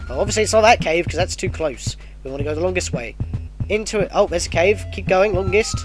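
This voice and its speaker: A man with a stuffy nose from a cold, casually commenting on his gameplay as the host, chatty and correcting himself as he goes, getting excited at battles. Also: sits high, fast, soft background music. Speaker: let's play host.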